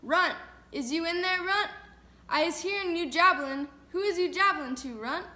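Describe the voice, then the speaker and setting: A hulking bully of a giant who bellows his threats and insults. A woman reader does him in a raised, shouting storybook voice, pitched fairly high. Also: steady tone, a little slow, roomy sound. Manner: shouting, booming. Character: huge brutish male giant, bullying.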